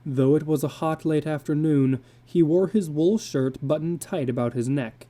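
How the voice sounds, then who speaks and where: A man narrating a literary story as a dramatic reading, with measured, deliberate phrasing. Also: on the high side, dark tone.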